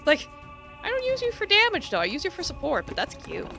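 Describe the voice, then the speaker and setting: A woman's voice: sits high, huge pitch swings, fast, gravelly, pushed and bright, faint music behind. A woman streaming a game, chatting casually with viewers in a giggly, rambling way full of fillers. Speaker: VTuber streamer. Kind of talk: casual live-stream chat while gaming.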